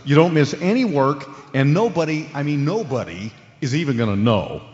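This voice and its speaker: A man's voice: fairly high pitch, very animated, normal speed, darkish tone. An actor playing an unflappable male sports talk radio host, moving between interview banter and polished ad-read patter. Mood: salesman-like, smooth. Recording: dull audio in a reverberant hall.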